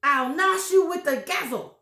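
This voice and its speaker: A woman's voice: high-pitched, expressive, a little slow, creaky, sharp tone, reverberant, voice pushed up, heavily acted. A woman voicing a nasty, furious old woman in a storybook read-aloud, shrieking threats.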